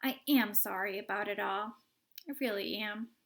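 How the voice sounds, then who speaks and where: A woman's voice: mid-range voice, moderate pace, gravelly, fairly bright tone. A woman voicing an eccentric male candy maker who talks in an airy, unbothered way. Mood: breezy.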